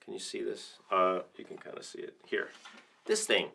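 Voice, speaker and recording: A man's voice: low voice, sing-song, moderate pace, creaky, over loud music. A man hosting a casual livestream as a percussion teacher, talking through instruments in an informal, rambling, enthusiastic way.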